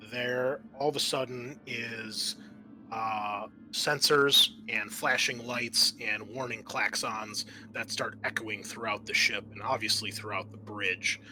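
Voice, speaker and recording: Bright-voiced man running a tabletop game. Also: on the low side. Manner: halting, conversational. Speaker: game master. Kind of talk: improvised tabletop game narration.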